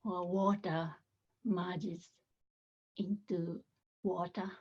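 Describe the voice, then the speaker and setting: A woman meditation teacher leading a guided meditation softly and gently, with many pauses and a breathy voice that often nears a whisper. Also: lowish voice, flat delivery, dull audio.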